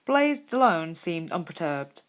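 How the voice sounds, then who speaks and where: Low-pitched woman narrating a story. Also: big pitch swings, unhurried. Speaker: podfic narrator.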